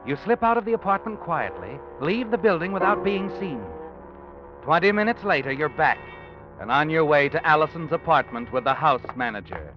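Faint music sits under a dull vintage radio recording of an actor as a sinister male narrator. He speaks in an insinuating, dramatic manner, his pitch a little raised. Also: expressive, moderate pace, close to an everyday voice. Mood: ominous, taunting. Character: ominous, all-knowing narrator taunting a killer in second person. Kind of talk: 1940s radio mystery narration.

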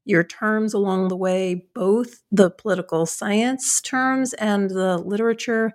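A woman's voice: mid-range voice, fairly even intonation, measured pace, darkish tone. A woman hosting an interview, asking follow-up questions and praising the guest's book with enthusiasm. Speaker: podcast host.

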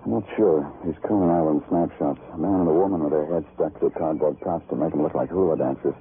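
An American actor playing a wry, weary police detective who narrates his case in a deliberate, clipped way, in old-time radio crime drama style. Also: rumbling low, steady tone, phone-quality sound, a little hiss or hum. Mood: dry, probing. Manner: deliberate, clipped, hard-boiled poetic narration.

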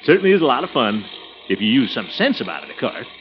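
Pompous, chuckling man with swooping pitch acted. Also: sing-song, fast, close to an everyday voice. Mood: jovial. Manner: blustery, chuckling, theatrical delivery. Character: pompous, jovial, easily flustered middle-aged man, comic lead. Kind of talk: 1940s radio comedy acting. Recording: muffled vintage radio recording with music.

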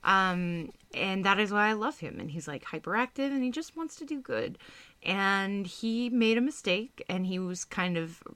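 Bright-voiced chatty woman podcast host. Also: medium pitch, animated, moderate pace, clear, resonant tone, dry recording. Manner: chatty, laughing, rambling with fillers and restarts. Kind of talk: casual chat.

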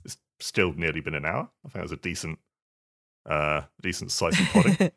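A man with a low, bright voice co-hosts a podcast. He talks casually and haltingly, doubling back on words as he goes. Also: wildly swooping pitch, gravelly. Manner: halting, hesitant delivery with repeated words. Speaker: podcast co-host. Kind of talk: casual chat.

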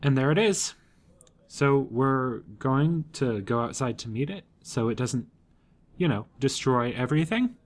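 A man's voice: mid-range voice, animated, a little slow, lightly acted. A man playing a blunt, practical woman in an audio drama, with a wry, matter-of-fact manner. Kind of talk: hobby audio drama acting.

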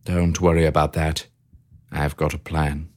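Brave lil hamster guy, read by a man, deep calm voice, rallying his troops. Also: measured pace. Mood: earnest. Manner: earnest, rallying, calm and firm. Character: brave plucky male hamster leader.

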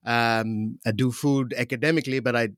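A man's voice: mid-range voice, steady tone, moderate pace, dry recording. A man from Pakistan hosts a casual academic interview, and his voice sits mid-range and is recorded dry and close. He laughs often and talks with many fillers and restarts. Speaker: interviewer host.

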